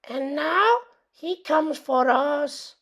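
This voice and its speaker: A tiny, bossy chihuahua bandit from a storybook , as a woman puts him on. His voice is squeaky and pitched very high. He hammers out his words with excitable emphasis. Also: drawn-out words, heavily acted. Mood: dramatic listing.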